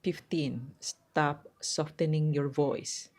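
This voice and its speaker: A middle-aged woman with a low, hard, tight voice, talking through a numbered list of life advice in an earnest, direct way with loose phrasing. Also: steady tone, slow, darkish tone. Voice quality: low, hard and tight voice, mistaken for a man's on the phone. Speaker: self-help vlogger. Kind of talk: casual advice talk, counting down a numbered list. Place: quiet spot at home.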